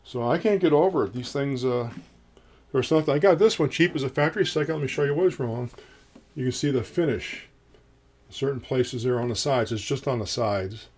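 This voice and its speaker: A man in his fifties with a low voice, reviewing a guitar in casual, rambling talk full of ums, recorded in a small home studio. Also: brisk, darkish tone. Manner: rambling, folksy, many ums and uhs.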